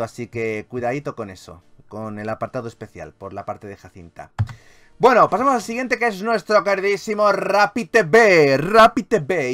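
A man's voice: mid-range voice, a bit bright. A man with an accent from Spain doing casual game commentary as a YouTube host, enthusiastic, playfully grandiose and freely swearing. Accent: Spanish from Spain.

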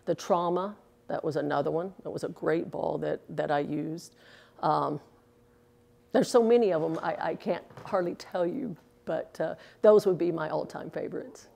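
A woman in her sixties talks in an interview, chatty and earnest, drifting off into fillers. Her voice sits low and has a gravelly edge. She is recorded close on a lapel mic in an indoor bowling alley. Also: normal speed, mellow. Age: 60s. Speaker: interviewee, former pro bowler. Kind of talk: casual interview answers.

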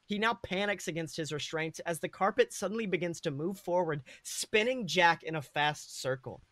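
Higher-pitched man narrating a story. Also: normal speed, a bit bright, no echo. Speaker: podcast host and story reader. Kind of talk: comedic dramatic story narration.

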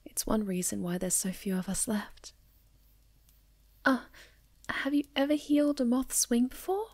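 A woman playing a sweet, timid young moth girl in an ASMR roleplay, speaking softly and breathily with hesitant stammers. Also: on the high side, animated, measured pace. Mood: shy, warm. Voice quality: soft, breathy, often near a whisper.

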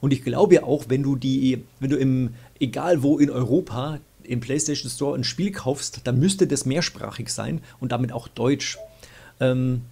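A man's voice: medium pitch, unhurried, creaky, warm tone, slightly rough. A young man hosting a weekly game show, casually answering viewer questions and thinking aloud with plenty of fillers. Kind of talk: casual chat. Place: small room indoors, at a desk.

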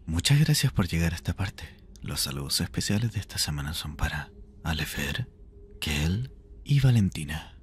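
A man speaking as an ASMR creator in a soft sign-off, with a breathy, husky voice. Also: extremely low pitch, sing-song, normal speed, slight background noise.

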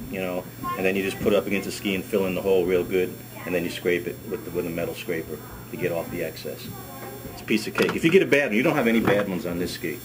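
A middle-aged man with a fairly low voice walks a small group through a hands-on demonstration. He talks in a relaxed, chatty way and often repeats words as he works. The camera mic picks up his voice in a wood-paneled workshop, with a steady hiss of noise underneath. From United States. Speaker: ski school director. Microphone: camera mic on a workbench.

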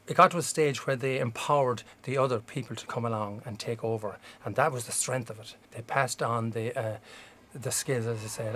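A middle-aged Irish man speaking reflectively in an interview, with conversational phrasing. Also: mid-range voice. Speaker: musician and former pantomime volunteer.

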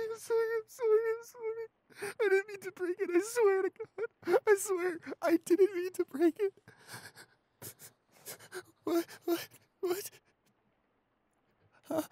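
A man playing a shy, anxious, apologetic young boyfriend in an audio roleplay, halting and repeating himself in a breathy, husky voice. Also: extremely high pitch, darkish tone, no echo. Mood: panicked, tearful pleading, then shaky, halting. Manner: halting, stammering, repeating pleas. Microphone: close studio microphone, dry.

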